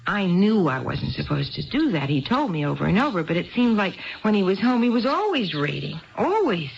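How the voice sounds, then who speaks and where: A woman's voice: mid-range voice, sing-song, brisk, vocal fry, muffled recording. An actress playing an eager, chatty, apologetic housewife in a 1970s radio drama, rambling and hesitant as she repeats herself. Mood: rueful, rambling.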